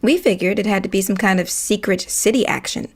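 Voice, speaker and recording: A woman's voice: mid-range voice, a little fast, slightly rough. An actress playing an earnest, slightly preachy young paralegal who tells her story in a wry, colloquial way in an audio play. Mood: exasperated. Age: young adult. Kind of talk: naturalistic monologue in an audio play.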